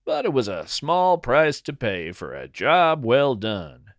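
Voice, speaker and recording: A man's voice: mid-range voice, big pitch swings, slow, no room sound. Guy hosting a story podcast, takes his time, playful and dry delivery, no room sound. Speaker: podcast host and narrator. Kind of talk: scripted first-person storytelling.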